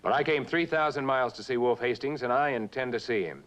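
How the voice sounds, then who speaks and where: An actor playing a determined, sardonic traveler in a suspense drama, speaking in clipped, insistent, dryly sarcastic lines. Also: male voice, mid-range voice, fast, fairly bright tone, close to an everyday voice. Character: determined, sardonic male traveler pressing for answers.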